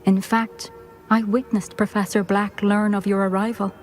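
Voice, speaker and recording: A woman plays a former headmistress in a fantasy video game. She is dignified and stern, with a formal, measured delivery at a middle pitch over faint music. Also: flat delivery, close to an everyday voice. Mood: grave, measured. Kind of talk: video game cutscene acting.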